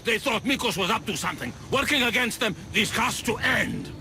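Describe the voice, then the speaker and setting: An actor playing an outraged, foul-mouthed male island villager in a video game, with a Greek accent and an emphatic, excitable manner. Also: high voice, fairly quick, background noise. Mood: angry, urgent. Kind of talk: dramatic video game acting.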